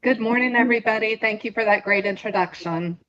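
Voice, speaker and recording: A woman's voice: a little high, fairly quick, a bit bright. An older woman chatting casually as a panel guest and telling an anecdote, recorded with slightly muffled, lo-fi sound. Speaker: guest, nonprofit board president.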